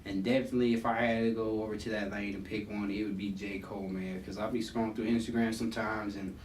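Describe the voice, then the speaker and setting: Lower-voiced rambling guest, echoey room. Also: male voice, level tone, fast talker, darkish tone. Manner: loose, rambling, heavy on fillers and slang. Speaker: guest rapper. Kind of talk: casual conversation. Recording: echoey room, distant mic, faint rumble.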